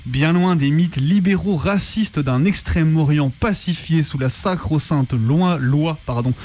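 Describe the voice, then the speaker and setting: A man's voice: on the high side, measured pace, a little mellow. A man reading a scripted documentary narration as a radio presenter. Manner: reading from a prepared text. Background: music.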